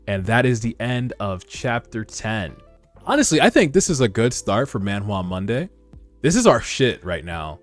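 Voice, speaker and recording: A man giving animated, slangy, sometimes profane reaction commentary as host of a read-along. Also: lowish voice, moderate pace. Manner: casual, slangy, profane, excitable. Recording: background music under voice. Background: music.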